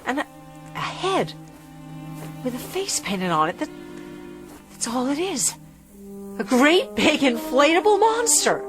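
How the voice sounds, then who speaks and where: A woman's voice: sits high, very animated, unhurried, pauses often. An actress playing a frightened but stubborn woman from a remote cabin in a 1960s TV drama. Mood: baffled. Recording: vintage TV soundtrack with music.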